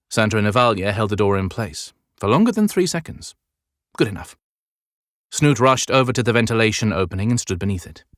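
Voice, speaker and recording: A man's voice: on the low side, a bit bright, no echo, studio quality. A man reading dramatic scripted narration as an audiobook narrator. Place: dry recording studio.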